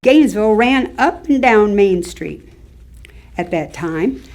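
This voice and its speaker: Wry old Southern townswoman acted by a woman, mid-pitched, measured delivery, staged oral history reading. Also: animated, darkish tone. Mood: wry reminiscing. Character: elderly small-town Southern woman, wry local chronicler.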